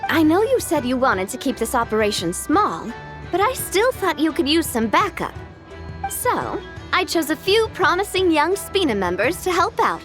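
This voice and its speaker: A woman's voice: sits high, big pitch swings, normal speed, over music. A woman voicing a helpful, friendly young female colleague in a video game.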